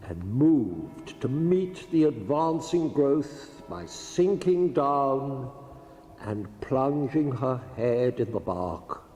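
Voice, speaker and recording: A man giving a solemn literary reading of verse, deliberate and broken into short phrases. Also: medium pitch, very animated, warm tone. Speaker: translator reading their own translation. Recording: echoey hall, faint background noise.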